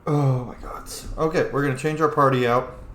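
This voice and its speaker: A man commentates on a role-playing game in an informal, sweary way. His mid-pitched voice rings with room echo, over faint background noise. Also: steady tone, a little fast, a bit bright. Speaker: gameplay host. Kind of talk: casual role-play gaming commentary.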